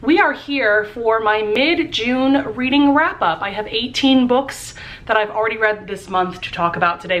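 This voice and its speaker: A woman's voice: mid-range voice, a bit bright. A young adult woman chatting casually about books while doing her makeup at home, upbeat and full of "um" and "like". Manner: chatty and upbeat, many fillers like "um" and "like". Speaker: book vlogger. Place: room at home lit by a lamp.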